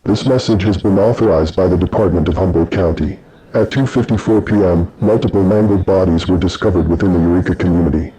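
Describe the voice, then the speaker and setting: Man as a deep, dark-voiced emergency alert announcer. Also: soft background music, lightly acted, rough recording. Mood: grave, formal. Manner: measured, formal, ominous. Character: grave official county emergency alert announcer. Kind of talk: scripted emergency broadcast.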